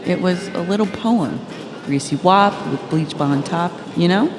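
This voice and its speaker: A woman's voice: on the low side, measured pace, lightly acted. A woman reading a blunt, teasing girlfriend in a scripted audio story, casual and matter-of-fact. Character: Freya, blunt, teasing, observant girlfriend in passenger seat. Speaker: reader of the girlfriend's part in a novel reading. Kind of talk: scripted audio-story dialogue over music. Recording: long reverberation under music. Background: music.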